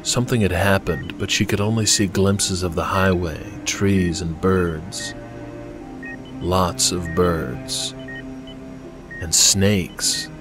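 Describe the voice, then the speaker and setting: A man's voice: deep voice, fairly even intonation, slow pace, over music. A man reading scripted fiction as an audiobook narrator.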